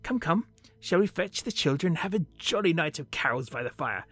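Man acting a husky, genial Victorian husband. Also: on the high side, animated, a little fast, fairly bright tone, no echo. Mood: cheerful. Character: genial Victorian husband, chatty, fond, pitying.

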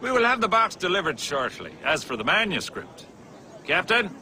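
An actor playing a colonial official in a game cutscene, smooth with peers and cutting to underlings. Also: male voice, high-pitched, very animated, moderate pace, sharp tone, heavily acted. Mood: courteous. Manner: suave then cutting. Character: colonial official, courteous to peers, bullying to soldiers. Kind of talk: video game cutscene acting.

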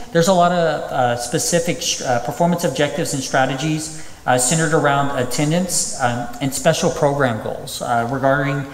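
A man's voice: medium pitch, slight background noise. A man in his thirties presenting at a school district public hearing from a podium, reading through items with frequent ums and uhs. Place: large empty auditorium. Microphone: podium microphone. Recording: echoey auditorium, distant reverb.

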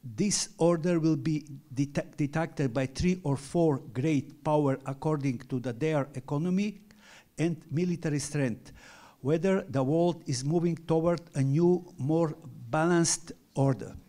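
A middle-aged man with a French accent, a native French speaker, reading a structured academic lecture at a panel in halting English. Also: a little high, mellow. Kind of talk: academic conference talk. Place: large conference hall with audience.

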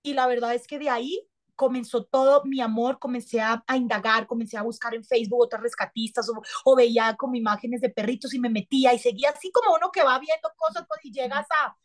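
A woman's voice: fairly high pitch, fairly quick. A woman, an actress and TV host chatting casually in an interview on a video call, gesturing a lot as she talks. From Colombia. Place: small indoor room. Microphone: webcam on a video call.

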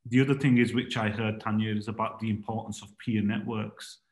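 A man's voice: lowish voice, level tone, fairly quick. Man moderating a video-call panel, echoey room.

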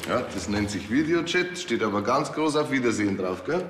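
An actor playing an evasive, grumbling small-time dealer in a TV crime comedy. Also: male voice, medium pitch, animated, brisk, a bit bright, slight background noise, close to an everyday voice. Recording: echoey workshop, faint music.

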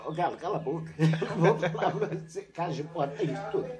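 An older woman, a retired teacher, recounting her school days in a relaxed interview on a home patio. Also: lowish voice, fast talker, crowd noise. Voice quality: lively, animated storytelling with laughs. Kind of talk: reminiscing interview. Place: covered outdoor patio at a home.

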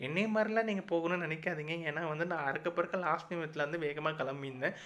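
A Tamil-accented man talks through a casual travel vlog at a rapid clip, his voice sitting a little high. He sounds bright and edgy, and his delivery is chatty and advice-giving, packed with fillers. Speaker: travel vlogger.

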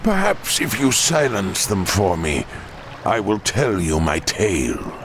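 A weary old male orc warlock, speaking very slowly with big dramatic swoops in pitch. He is a haunted, mournful wanderer in a fantasy game, with noisy watery ambience around him. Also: big pitch swings. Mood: weary, humble. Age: older. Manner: slow, weighty, mournful storytelling. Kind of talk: dramatic video game acting.

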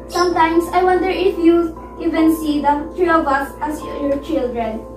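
A young woman playing a hurt, outspoken youngest daughter in an amateur melodrama, speaking earnestly and haltingly. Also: sits high. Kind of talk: amateur one-act melodrama acting. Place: living room, far camera mic. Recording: echoey room, distant mic, music over it.

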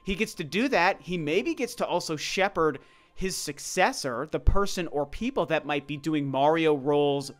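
A man with a slightly high voice delivers casual opinion commentary in a voice-over. He talks conversationally but emphatically, circling back over his points to drive them home. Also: lively intonation, normal speed. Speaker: video commentator. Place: voice-over over gameplay footage.

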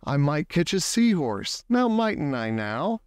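A man reading a children's picture book aloud expressively, with deliberate phrasing and words drawn out for emphasis. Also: a little high, sing-song, clean tone, no echo, studio quality. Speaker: storybook reader.

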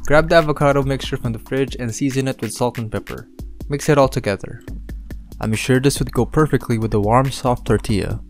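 A man from the Philippines narrating his cooking channel in voice-over, giving relaxed step-by-step instructions with dry humor. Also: medium pitch, a little fast, faint music behind.

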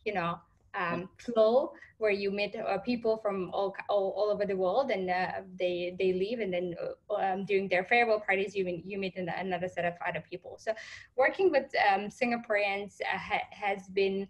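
A young woman giving informal panel answers on a video call, halting and often restarting as she thinks. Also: mid-range voice, steady tone. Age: young adult.

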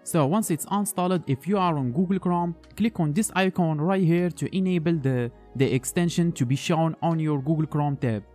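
Male tech tutorial host, mellow tone, plain step-by-step delivery, faint music underneath. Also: on the high side, moderate pace, hardly any pauses. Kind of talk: screen-recorded tech tutorial voice-over.

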